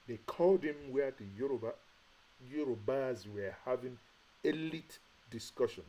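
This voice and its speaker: Man, Nigerian accent, emphatic, straining voice, husky, phone mic. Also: mid-range voice, warm tone, rough recording. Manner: impassioned, emphatic preaching cadence, repeats rhetorical questions. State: straining, says he is shouting and has a headache. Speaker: online commentary host. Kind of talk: live-streamed political commentary.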